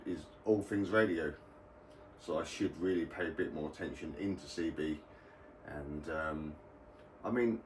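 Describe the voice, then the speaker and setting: A low-voiced British man hosts an informal explainer video, talking in a loose, rambling way. He sounds set back from the microphone in an echoey room. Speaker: radio hobbyist video host. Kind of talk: casual explainer vlog. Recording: roomy, distant mic, faint background noise.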